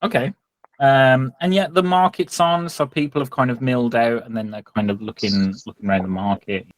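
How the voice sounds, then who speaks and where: A man with a medium-pitched voice runs a tabletop game as game master. His narration is improvised, and the recording is close and dry. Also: steady tone, fairly quick, no echo.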